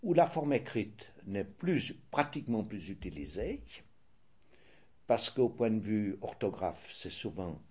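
An older man with a Swiss French accent answering interview questions in a measured, hesitant way, often restarting and correcting himself. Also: fairly low pitch, big pitch swings, a little mellow, breathy edge.

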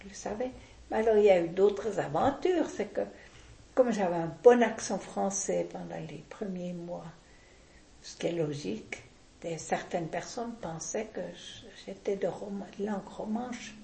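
An older woman, talking deliberately and with firm opinions in a casual interview, often restarting her sentences. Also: mid-range voice, vocal fry, dark tone, reverberant.

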